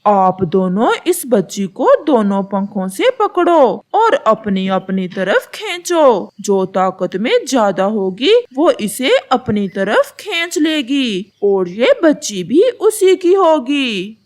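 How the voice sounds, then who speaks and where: A woman's voice: on the high side, big pitch swings, slow pace, hardly any pauses, voice pushed down. A woman voicing a stern cartoon forest bird who judges disputes in an authoritative manner. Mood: firm, deliberating.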